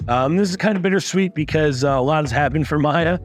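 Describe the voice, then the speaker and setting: A man coaching lifters, talking through results in a casual, upbeat recap with a raspy voice and plenty of swearing. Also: on the high side, brisk, faint music behind. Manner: upbeat, swears freely, many fillers. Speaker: strength coach.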